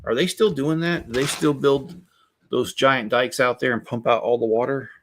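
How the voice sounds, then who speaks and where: A man's voice: medium pitch, normal speed, slight background noise. A middle-aged man hosting a casual livestream, chatting with viewers in a rambling, self-deprecating, joking way. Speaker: Lego building livestream host. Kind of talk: casual chat with live viewers.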